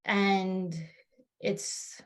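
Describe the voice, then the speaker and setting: A woman's voice: mid-range voice, little pitch movement, extremely slow. A woman guest on a podcast interview, speaking thoughtfully about her career, with frequent fillers and restarts. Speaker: podcast guest, marketing consultant. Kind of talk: reflective interview conversation.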